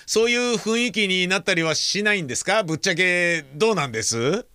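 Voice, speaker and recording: Nosy father, high and animated, acted by a man. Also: clear, resonant tone, no echo, studio quality. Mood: prying. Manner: probing, courteous, drawn-out questioning. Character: nosy, polite middle-aged father prying into romance. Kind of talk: audio drama acting.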